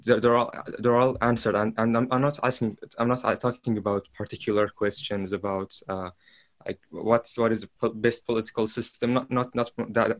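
A man, speaking as a podcast guest. He talks haltingly and keeps restarting as he searches for words. His voice sits low and stays fairly flat. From Iran. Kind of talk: casual interview talk.

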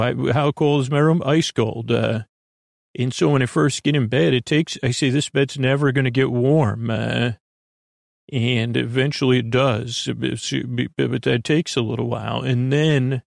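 A male podcast host with a mid-pitched voice full of gravelly fry, recorded very dry. He delivers a low-key bedtime monologue that wanders off on tangents, hesitating and restarting as he goes, with a self-deprecating streak. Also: a little mellow, no room sound. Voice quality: gravelly, creaky. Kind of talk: rambling, unscripted bedtime monologue.

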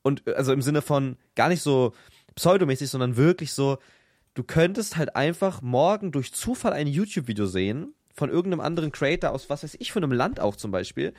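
A man's voice: on the high side, lively intonation, brisk, darkish tone, no room sound, studio quality. A young man, co-hosting a podcast, who argues his point insistently and keeps repeating it. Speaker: podcast co-host. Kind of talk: casual banter between friends.

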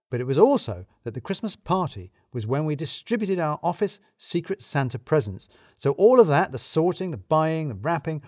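A man reading a scripted comic mystery in the first person, with wry, theatrical emphasis and deliberate pauses. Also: mid-range voice, darkish tone, no echo, studio quality. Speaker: audiobook reader narrating in first person as an office worker.

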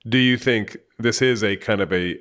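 A low-pitched man in his forties hosts a conversation. His questions wander casually, full of fillers and restarts as he talks the ideas through. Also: moderate pace, a bit bright. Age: 40s. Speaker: podcast host. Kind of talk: conversational interview.

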